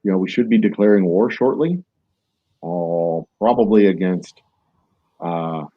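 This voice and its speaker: Low husky guy chatting thru his strategy game at home, kinda muffled lo-fi mic. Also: a little slow, dry recording. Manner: rambling, self-mocking, many fillers. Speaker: gaming streamer. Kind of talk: casual let's-play commentary. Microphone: desk microphone.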